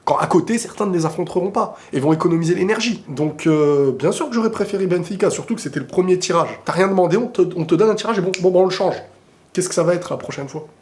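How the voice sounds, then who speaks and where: A man with a cold and a sore throat, talking casually and excitably about football to his viewers, often rambling into quick asides. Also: high voice. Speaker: football video creator answering viewer questions. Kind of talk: casual opinionated football talk to camera.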